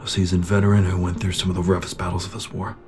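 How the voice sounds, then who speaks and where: Brooding soldier, played by a man, low voice, terse, war-weary sergeant for sci-fi audio drama. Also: level tone, racing, a little mellow, soft background music, close to an everyday voice. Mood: subdued, reflective. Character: bitter, battle-worn soldier, sergeant of shock troops.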